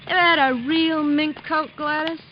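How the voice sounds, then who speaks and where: Actress as a brassy, scheming dame, highish voice. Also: female voice, steady tone, moderate pace, lightly acted. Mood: boastful, sly. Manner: slangy, brash. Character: scheming, brassy, hard-boiled gold-digging young woman. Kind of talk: 1940s-50s radio detective drama acting. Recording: dull lo-fi old radio, faint noise.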